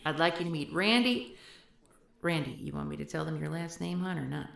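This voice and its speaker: A woman's voice: sits low, brisk, vocal fry, fairly bright tone, no room sound, exaggerated voice. A woman voicing a self-assured, teasing former professor in an audio roleplay, dry and in charge. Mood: wry. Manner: dry, teasing, takes charge, swears casually. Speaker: historical society leader.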